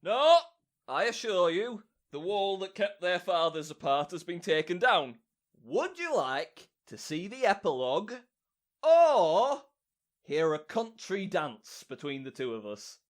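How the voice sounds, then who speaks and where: A man playing an eager, excitable comic amateur player in a Shakespeare audio drama. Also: sits high, a little slow.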